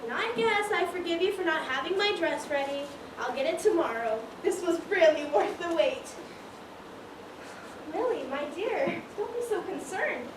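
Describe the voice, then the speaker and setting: A woman's voice: extremely high pitch, lively intonation, normal speed. A woman playing a nosy, arch society gossip with sugary politeness in an amateur stage comedy. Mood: breezy, arch. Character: nosy, gleefully coy society lady gossip. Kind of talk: amateur one-act stage comedy acting. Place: stage in a large echoey room. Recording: echoey stage, noisy, far-off mic.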